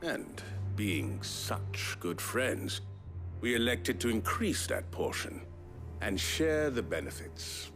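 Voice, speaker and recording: An actor playing a sly, scheming official who talks in a smooth, measured way. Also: male voice, fairly low pitch, big pitch swings, music in the background, exaggerated voice. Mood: smug. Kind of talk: video game cutscene acting.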